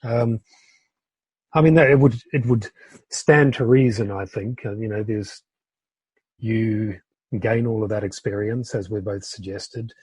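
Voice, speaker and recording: A man in his fifties from the United Kingdom, a podcast guest and entrepreneur, speaking thoughtfully with pauses and fillers. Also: on the low side, darkish tone, breathy edge, no room sound, rough recording. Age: 50s. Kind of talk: conversational interview.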